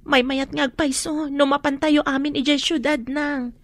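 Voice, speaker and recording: High-voiced, worried young clanswoman played by a woman. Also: steady tone, normal speed, natural voice. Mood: dutiful. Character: worried young student daughter of a feuding clan. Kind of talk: Ilocano radio drama acting.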